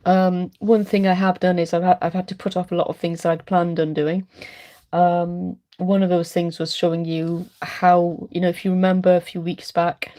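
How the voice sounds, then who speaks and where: A middle-aged woman chatting on a late-night live stream, rambling warmly with many ums while congested and chesty from a cold. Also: lowish voice, flat delivery, moderate pace. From Wales. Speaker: art channel host.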